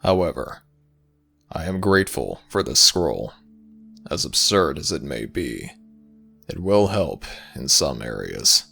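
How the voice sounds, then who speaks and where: Casting: brooding underworld jackal god, bottomless bass growl, forceful edge, humourless formal speech, fantasy audio drama. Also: male voice, big pitch swings, takes it slowly, pauses often, faint music behind, full character voice. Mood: grave, reserved. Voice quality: very deep, gravelly. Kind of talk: scripted fantasy audio roleplay.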